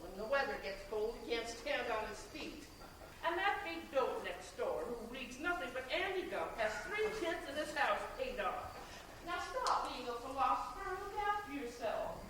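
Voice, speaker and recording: Stage mother, played by a woman: high, very animated, bossy and warm, heard far off in an echoey theatre. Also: normal speed. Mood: scolding, coaxing. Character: warm, bossy, meddling middle-aged mother. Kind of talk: amateur stage play acting. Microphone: far camera. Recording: distant camera, echoey theatre, noisy.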